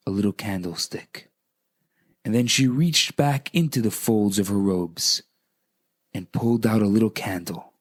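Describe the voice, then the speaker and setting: A man speaking as a rabbi on radio, in a dramatic, inspirational storytelling style, deliberate and emphatic. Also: lowish voice. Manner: deliberate, emphatic, repeats phrases for effect.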